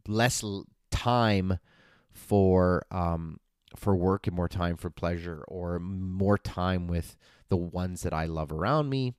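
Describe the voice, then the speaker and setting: Deep-voiced man in his forties, earnest coach. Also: takes it slowly, clear, resonant tone, no echo, studio quality. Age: 40s. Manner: earnest, motivational, with frequent fillers and restarts. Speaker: podcast host and fitness coach. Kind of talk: casual solo podcast talk.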